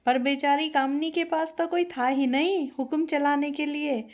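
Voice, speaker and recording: A woman's voice: high voice, fairly even intonation, moderate pace, voice pushed up, lightly acted. A woman voicing a chatty eldest sister-in-law who hands out chores in a family story. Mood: teasing.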